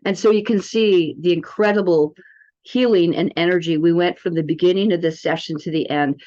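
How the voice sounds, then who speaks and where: A woman giving an informal software demo as a practitioner, in a chatty, rambling, salesy manner. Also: on the low side, fairly even intonation, brisk. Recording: dull, muffled lo-fi sound.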